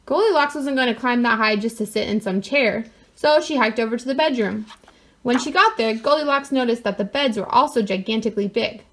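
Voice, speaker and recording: A woman with a fairly high voice reads a picture book aloud to children. Her delivery is expressive, and she adds wry asides in a mock-innocent way. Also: a little fast. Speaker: storyteller.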